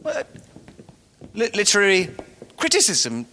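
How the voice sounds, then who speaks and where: A pompous failed writer, echoey hall. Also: male voice, high voice, wildly swooping pitch, unhurried, sharp tone, a little hiss or hum, heavily acted. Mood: flustered, wounded. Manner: pompous, stammering when flustered. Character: vain self-pitying failed writer from the past. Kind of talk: live audio comedy acting. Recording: echoey hall, live stage.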